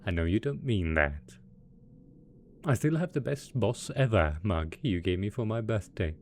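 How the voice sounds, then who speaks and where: A gleeful mad scientist villain boss, acted by a man, speaks in a low voice that swings widely in pitch. He is theatrical and smug, doting with playful false innocence, as in a scripted roleplay drama. Faint noise sits behind the voice. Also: lively intonation, measured pace, darkish tone. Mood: wounded innocence.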